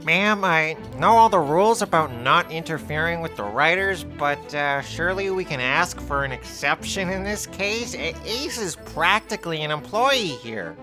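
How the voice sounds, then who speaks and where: A woman playing a dry, knowing, loyal assistant with a deadpan manner in a comedic audio drama. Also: sits low, normal speed, hardly any pauses, over music, rough recording. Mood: earnest.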